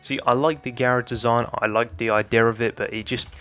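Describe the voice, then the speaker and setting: A young man with a slightly hoarse voice casually talking viewers through a building tutorial, addressing them as guys. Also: mid-range voice, steady tone, fairly quick, sharp tone. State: slightly hoarse, losing his voice. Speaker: Minecraft building tutorial creator. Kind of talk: casual gameplay tutorial commentary. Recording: faint background music.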